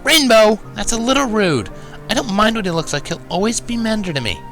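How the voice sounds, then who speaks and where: A man voicing an earnest, kind young female cartoon unicorn, sincere and gently firm. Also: high voice, animated, brisk, music in the background, pitched above the performer's own voice. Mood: protective.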